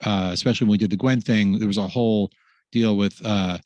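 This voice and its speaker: A man's voice: fairly low pitch, fairly even intonation, fairly quick, a little mellow, no room sound, muffled recording. A man hosting a casual webinar, rambling with many fillers and restarts. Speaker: host.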